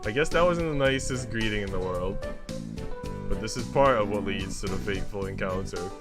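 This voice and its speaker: A man's voice: lowish voice, a little fast, over music. A man livestreaming a visual novel, reading it aloud and chatting casually with informal, joking asides.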